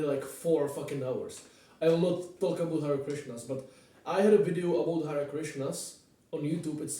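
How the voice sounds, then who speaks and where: Echoey, dark-voiced Czech-accented man. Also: fairly high pitch. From Czech Republic. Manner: informal, repetitive, swears casually. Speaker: vlogger. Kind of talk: rambling casual storytelling to camera. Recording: very echoey room, distant microphone.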